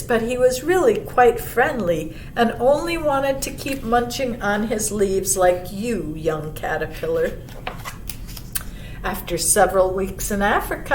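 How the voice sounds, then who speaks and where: A woman voicing a gentle, wise old male monarch butterfly in a storybook, telling a child of travels with unhurried wonder. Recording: roomy, distant mic, faint rumbling noise.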